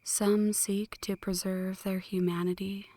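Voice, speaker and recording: A woman playing a flirty, brooding female vampire in an ASMR roleplay, speaking in hushed, breathy whispers with a teasing, poetic delivery. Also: mid-range voice, little pitch movement, a little slow, dry recording, lightly acted. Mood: solemn, earnest. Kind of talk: ASMR roleplay, scripted dramatic monologue.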